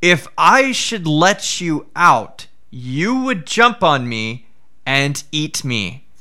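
A man's voice: fairly high pitch, big pitch swings, very slow, bright, forceful tone, heavily acted. A man playing an anxious, earnest Brahmin.

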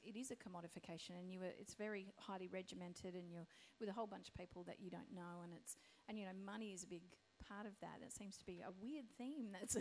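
A woman from Australia leads a panel discussion with a croaky, gravelly voice. She speaks in a thoughtful, halting way, full of fillers. A faint hum sits behind her. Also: fairly low pitch, darkish tone. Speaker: panel moderator.

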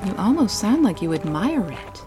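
A worried, earnest scientist, acted by a woman in an audio drama. Her delivery is reflective and lively in pitch. The sound is very echoey and a little distant, with clear music behind. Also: normal speed, close to an everyday voice. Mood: questioning. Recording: very echoey, slightly distant from mic.

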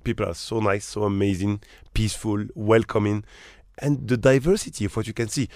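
A man's voice: fairly low pitch, steady tone, brisk, a little mellow, no room sound. A man from Morocco who manages hotels, talking as an interview guest with emphatic rhetorical questions.

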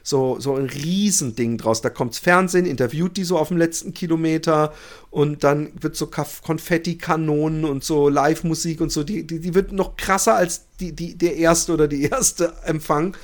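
A man's voice: fairly high pitch, fairly even intonation, normal speed. A man hosting a podcast in casual banter, often searching for words as he thinks aloud. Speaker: podcast host.

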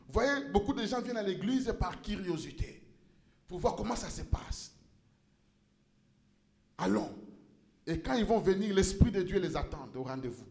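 A male pastor preaching a sermon in a church, impassioned, rising to shouts and stumbling over repeated words. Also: high-pitched, very animated, normal speed, pauses often, echoey room.

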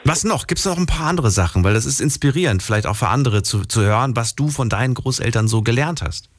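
A man's voice: medium pitch, animated, quick pace, no room sound, clean studio sound. A man interviewing a guest in casual conversation, curious and asking follow-up questions.

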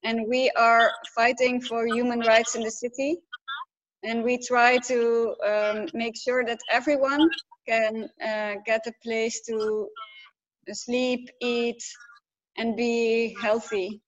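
A woman lays out the facts in an earnest, steady way. Her voice sits a little high and barely moves in pitch. The sound is muffled and lo-fi, like a remote call line. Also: slow pace. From Netherlands. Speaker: migrant rights organizer. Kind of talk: explaining on a call-in radio interview.

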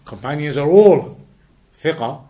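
A man teaching a religious text lesson to a class, explaining each phrase, asking rhetorical questions, and reciting quoted Arabic quickly and fluently. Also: a little high, animated, mellow. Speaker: Islamic teacher.

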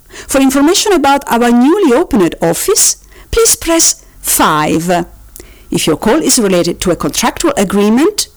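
A woman voicing a politely officious automated phone-menu system, reading scripted options formally with drawn-out, sing-song emphasis. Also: mid-range voice. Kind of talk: recorded phone-menu announcement, scripted parody.

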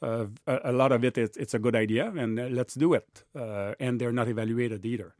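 A man's voice: medium pitch, steady tone, a little fast, darkish tone, dry recording, studio quality. A man speaking as a guest researcher in an interview, hesitant and often repeating words. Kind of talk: interview answers.

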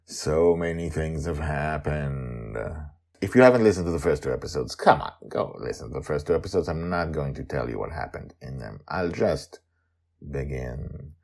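A man hosting and narrating a fiction podcast with animated, swooping emphasis. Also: extremely low pitch, normal speed, gravelly. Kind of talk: storybook narration.

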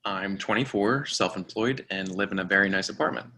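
A man's voice: lowish voice, pushed and bright, a little hiss or hum. An actor playing an awkward, lovesick man in his twenties in a play reading, speaking hesitantly and running himself down. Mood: awkward, wistful. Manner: hesitant, halting. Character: lovesick awkward 24-year-old man, self-deprecating. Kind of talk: play reading, confessional monologue.

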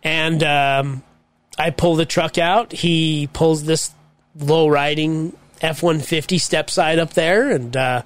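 A man with a high, light voice spins a loose, rambling anecdote, stacking up "I says" and "he's all" as he goes. The tone is that of a relaxed podcast chat. The sound is dry and close, with no room around it. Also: slow, no room sound. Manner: rambling, quotes others with "I says". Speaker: podcast co-host. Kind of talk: casual storytelling chat.

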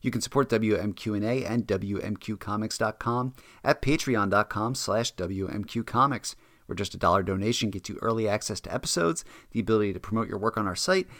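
A man's voice: fairly low pitch, fairly bright tone. A man speaking as a podcast host. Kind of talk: podcast hosting, scripted sign-off.

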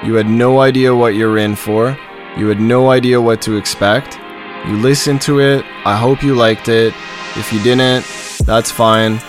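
A man's voice: medium pitch, steady tone, measured pace, soft background music. A man from Montreal with a nasal voice, talking on his own in an upbeat, rambling way as a podcast host, often repeating words as he restarts. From Montreal, Canada. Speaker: podcast host and company leader. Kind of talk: solo podcast monologue. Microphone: studio podcast microphone.

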